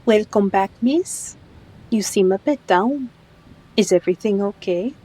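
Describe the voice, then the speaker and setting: A woman's voice: mid-range voice, a little slow, warm tone, husky, rough recording. A woman playing a friendly, wry bartender in a fantasy audio roleplay, chatty and familiar in manner. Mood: concerned.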